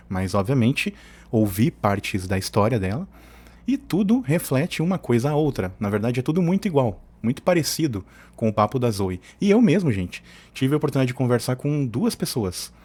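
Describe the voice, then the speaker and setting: A man's voice: mid-range voice, a little mellow. Man giving opinions. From southern Brazil. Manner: opinionated, digressive, colloquial. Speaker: host of an opinion channel. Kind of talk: informal political commentary.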